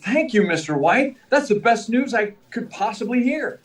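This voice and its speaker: Young reporter hero, male actor: brisk, deferential, high male voice, vintage radio drama, slightly off-mic. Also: animated. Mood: eager, grateful. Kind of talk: 1940s radio serial acting. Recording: distant mic, live remote performance.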